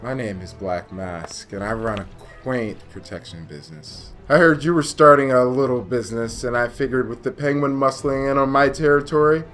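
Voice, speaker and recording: A man acts out a muscular masked crime boss in a radio drama. He has a mid-pitched voice and a smug, mock-polite, taunting menace, with music running underneath. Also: normal speed, few pauses, a bit bright, close to an everyday voice. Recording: music behind.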